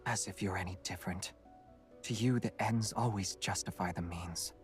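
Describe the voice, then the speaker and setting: A man's voice: deep voice, normal speed, soft background music, close to an everyday voice. A man playing a cold, weary young swordsman, restrained and grave, in a dramatic game story. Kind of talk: dramatic character acting in narrated game story.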